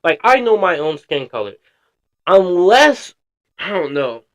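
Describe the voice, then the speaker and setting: A man's voice: sits high, sing-song, unhurried. A man streaming casual reaction commentary, slangy and blunt, swearing freely.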